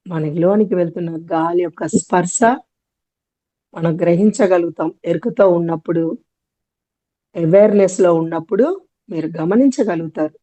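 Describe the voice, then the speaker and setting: A woman leading a guided meditation, giving gentle instructions in short, often repeated phrases. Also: fairly low pitch, moderate pace, long pauses.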